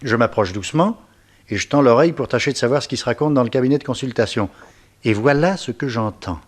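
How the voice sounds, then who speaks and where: An actor playing a plucky, cheeky detective's sidekick in a French radio serial, chatty and slangy. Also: male voice, medium pitch, animated, quick pace, natural voice. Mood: breezy, proud. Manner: chatty, colloquial storytelling.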